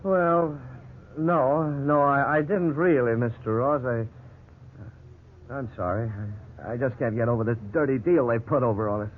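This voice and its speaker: A man's voice: mid-range voice, unhurried, natural voice. An actor playing an irritable, sarcastic husband and father, grumbling defensively in 1950s radio drama style. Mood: sheepish, upset. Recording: old noisy 1950s radio, narrow band.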